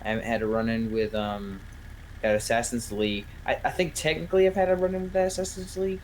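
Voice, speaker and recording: A man's voice: medium pitch, little pitch movement, quick pace, a little mellow, a little hiss or hum. A man chatting casually in an online roleplay game, hesitant and rambling. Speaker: new roleplay player.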